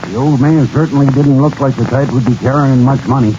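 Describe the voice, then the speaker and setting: An actor playing a plain-spoken, easygoing American small-town sheriff in a 1940s radio western, folksy and matter-of-fact. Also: male voice, mid-range voice, a little fast, lo-fi sound, lightly acted. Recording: faintly noisy vintage radio broadcast.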